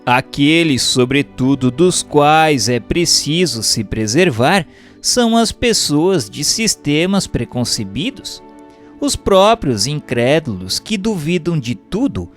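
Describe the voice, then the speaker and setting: A man with a Brazilian accent reading a book aloud as a formal, measured, didactic narration. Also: on the high side.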